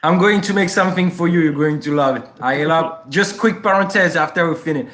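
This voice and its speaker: A man's voice: on the high side, brisk. A man from France with a French accent, chatting casually and animatedly about his electric skateboards. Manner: animated, jokey, says "you know" and "my friend" often. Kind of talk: casual chat.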